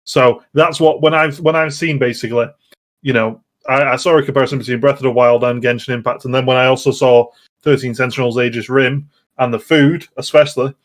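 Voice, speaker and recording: Medium-pitched man in casual chat. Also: steady tone, fairly quick. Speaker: podcast co-host.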